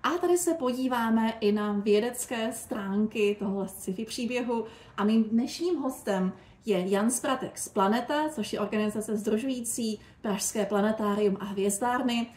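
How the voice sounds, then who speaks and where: A woman's voice: on the high side, a little mellow. A woman hosting a podcast and putting questions to a guest in a conversational interview. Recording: echoey room with faint noise.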